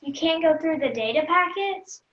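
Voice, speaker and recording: A woman playing a precocious, bossy kindergarten girl in a screenplay table read, speaking in a matter-of-fact, deliberate way. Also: very high voice, animated. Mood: blunt, matter-of-fact. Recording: echoey, muffled video call audio.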